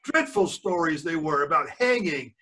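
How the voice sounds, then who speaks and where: An older man reading a storybook aloud as a grandfather would, pausing for chatty asides and questions to young listeners. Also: a little high, expressive, sharp tone, distant mic. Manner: pauses to quiz the listeners.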